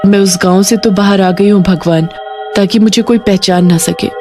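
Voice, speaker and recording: A woman's voice: medium pitch, fairly even intonation, moderate pace, music in the background, lightly acted. A woman voicing a caring village mother who is emotive and earnest, in a cartoon ghost story. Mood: tender, earnest.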